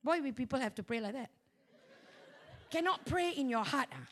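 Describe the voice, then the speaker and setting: A woman preacher with a very high voice and a Malaysian accent. She tells her sermon as a story, acting out both sides of conversations in an animated, joking way, with colloquial particles sprinkled through. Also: moderate pace. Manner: animated, colloquial, humorous, with local particles like lah and ah. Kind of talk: storytelling sermon with acted-out dialogue.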